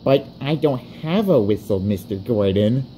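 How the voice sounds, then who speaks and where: A man's voice: medium pitch, expressive, normal speed, dark tone, a little hiss or hum, natural voice, low-quality recording. A man voicing a polite but cheeky junior male railway engine in a storybook parody, deferential yet questioning. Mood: unimpressed.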